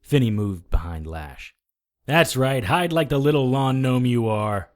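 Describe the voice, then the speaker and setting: An actor playing a cocky, sarcastic hardboiled supernatural detective, narrating and trading defiant lines in a noir audio drama. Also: male voice, mid-range voice, animated, unhurried, natural voice. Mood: defiant sarcasm.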